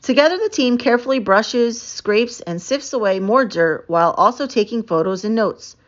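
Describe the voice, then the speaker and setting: A woman narrating a children's book aloud in an expressive, emphatic storytelling manner. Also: a little high, normal speed, dull audio. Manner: animated, emphatic storytelling with stretched key words.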